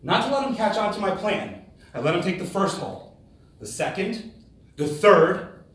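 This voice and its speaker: A man giving a rehearsed, humorous storytelling speech in a theatrical manner, with dramatic pauses and exclamations. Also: high voice, big pitch swings, a bit bright. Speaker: contest speaker. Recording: very echoey room, distant microphone.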